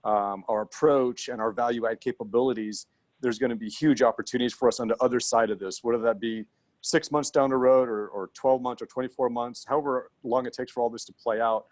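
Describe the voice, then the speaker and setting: A man's voice: medium pitch, fairly even intonation, fairly quick, a little mellow, no room sound. A man speaking confidently and earnestly about business opportunities on a video call webinar. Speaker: webinar participant. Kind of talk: motivational business talk.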